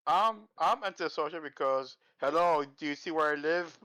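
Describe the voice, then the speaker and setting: A man's voice: a little high, normal speed, bright, forceful tone, no echo. A man in a casual stream chat, joking dryly and in a laid-back way. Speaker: stream guest.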